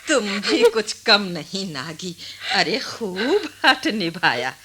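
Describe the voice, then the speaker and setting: An old village grandmother, kindly and wise, in a radio drama. She talks slowly, with a husky voice that swings widely in pitch. Her manner is affectionate and a little bossy. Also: sing-song, sharp tone, exaggerated voice. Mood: affectionate, teasing. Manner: chuckling, slow, emphatic, affectionately chiding. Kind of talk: Hindi radio play acting.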